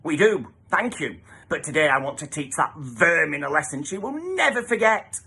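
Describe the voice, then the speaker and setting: A man's voice: fairly high pitch, lively intonation, moderate pace, vocal fry, pushed and bright, slightly rough, heavily acted. A man voicing an imperious, vengeful dinner lady, a scornful villain in a children's storybook. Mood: bossy, insistent. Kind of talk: storybook character voice.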